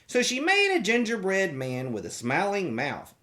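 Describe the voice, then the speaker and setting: A children's show host reading a storybook aloud in a playful, theatrical, expressive way. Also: medium pitch, moderate pace.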